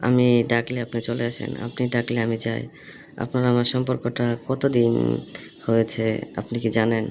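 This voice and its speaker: A man's voice: medium pitch, completely flat delivery, moderate pace. A man in a casual phone conversation, asking probing questions and explaining himself at length. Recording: phone call recording with faint noise.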